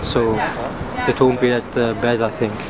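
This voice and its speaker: A man, a law student answering in a halting way with many stumbles. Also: medium pitch, little pitch movement, over a noisy crowd, rough recording. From Tilburg, Netherlands. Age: 20s. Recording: loud wind and water noise outdoors.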